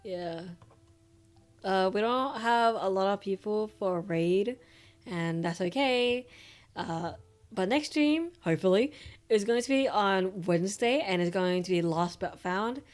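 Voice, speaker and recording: A woman's voice: mid-range voice, animated, unhurried, faint music behind. A young woman with an Australian accent, chatting loosely with viewers on a stream, full of fillers and the odd swear word. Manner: loose and rambling, with casual swearing and many fillers. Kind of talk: casual stream chat.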